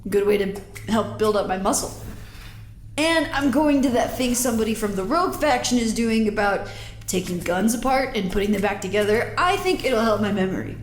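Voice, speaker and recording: An actress playing a casual, upbeat field agent who nudges and encourages in informal, naturalistic audio drama dialogue. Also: female voice, mid-range voice, a little fast, a little hiss or hum, natural voice. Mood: coaxing, upbeat. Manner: informal, coaxing, animated. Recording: roomy echo, music behind.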